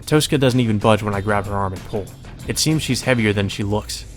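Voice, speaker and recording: A man's voice: fairly low pitch, a little fast, soft background music. A man reading visual novel narration aloud as a let's play, mixed with casual, sweary commentary.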